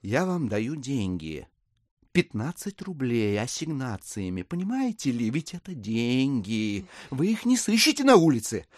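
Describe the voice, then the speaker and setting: An actor playing a scheming, smooth-talking gentleman swindler in a classic audio drama, insistent, wheedling and easily exasperated. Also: mid-range voice, big pitch swings, a little slow, no echo, studio quality. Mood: impatient, reasoning. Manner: insistent, wheedling, theatrically emphatic.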